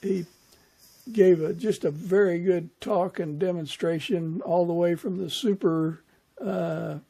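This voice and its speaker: Older guy hosting a podcast, soft dark mellow tone, voice a bit high. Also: steady tone, takes it slowly, vocal fry. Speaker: podcast host. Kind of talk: casual interview chat.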